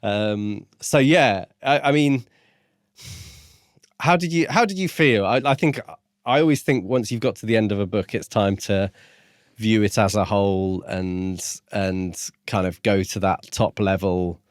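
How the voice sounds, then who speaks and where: Low-voiced male book podcast host. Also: unhurried, no echo, clean studio sound. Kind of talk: book review, plot recap and discussion.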